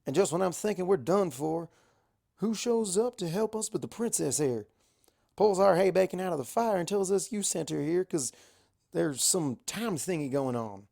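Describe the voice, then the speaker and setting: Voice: medium pitch, expressive, moderate pace, vocal fry, mellow, pitched above the performer's own voice. An amateur narrator voicing a brash, folksy country pony mare in a story reading. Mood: alarmed, excited. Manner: folksy, exclamatory.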